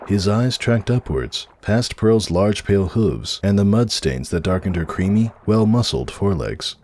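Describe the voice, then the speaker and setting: A man's voice: fairly low pitch, animated, a little slow, a little mellow. A man reading a story aloud as a dramatic, scripted narrator. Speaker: narrator of a fan fiction reading.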